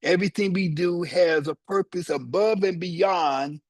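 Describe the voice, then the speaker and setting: Husky, slow-speaking man in his seventies. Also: sits high, dry recording, studio quality. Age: 70s. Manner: slow, deliberate, explanatory, with many short pauses. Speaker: yoga teacher. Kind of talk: guided yoga instruction with teaching asides.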